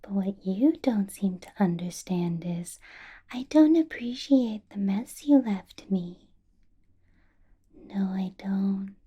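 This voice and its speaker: Woman performing a vampire crime boss, ASMR roleplay, heavily breathy whisper, soft mellow tone, doting, quietly menacing. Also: mid-range voice, expressive. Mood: cold, menacing. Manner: slow, breathy whisper with silky, drawn-out phrasing.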